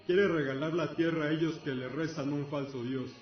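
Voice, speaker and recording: A man's voice: fairly high pitch, steady tone, fairly quick, close to an everyday voice. Man voicing an echoing, imperious griffin god. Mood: stern, demanding. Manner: commanding, solemn, contemptuous. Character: imperious male griffin god, commanding, scornful, merciless. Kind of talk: fantasy radio drama acting. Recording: echoey, distant, dull lo-fi with music.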